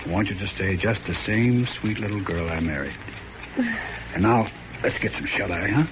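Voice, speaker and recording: An actor playing a smooth, colloquial husband with a falsely tender air in a 1940s style radio suspense drama. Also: male voice, fairly low pitch, very animated, moderate pace. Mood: tender, soothing. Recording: muffled, noisy old radio recording.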